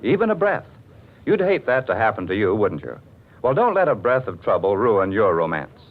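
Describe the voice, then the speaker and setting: A man's voice: fairly low pitch, very animated, moderate pace. A man announcing and narrating in scripted 1940s radio style, theatrical and polished. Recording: muffled, narrow-band 1940s radio recording.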